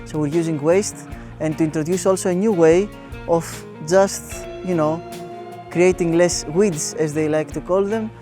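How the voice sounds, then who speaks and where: A man explaining a volunteer project he coordinates, earnest, pausing with fillers. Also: a little high. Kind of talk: documentary interview. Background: guitar music.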